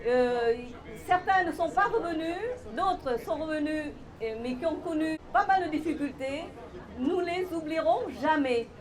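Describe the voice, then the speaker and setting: A woman's voice: high voice, measured pace, a crowd in the background. A mature woman gives an informal, heartfelt tribute speech, hesitating and repeating her words. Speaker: member of a Vietnamese-Senegalese community giving a tribute.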